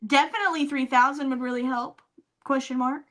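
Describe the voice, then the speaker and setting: A woman's voice: sits high, fairly even intonation, a little fast, fairly bright tone. A woman co-hosting a casual comedic podcast, reading messages out with wry mockery, swearing casually and laughing often. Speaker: podcast co-host.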